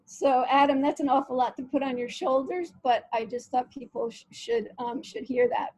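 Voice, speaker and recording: A woman hosting a conversational interview over a video call, reading passages aloud and talking with frequent pauses and fillers. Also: high-pitched, warm tone, no room sound, poor audio. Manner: hesitant, reads quotes aloud, many fillers. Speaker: interview host.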